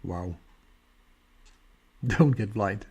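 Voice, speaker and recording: A man's voice: lowish voice, extremely slow, breathy edge. A man with a Dutch accent hosting a live stargazing stream, chatting casually and answering viewers' comments with frequent laughs. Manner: relaxed, chatty, laughs often. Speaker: astronomy livestream host.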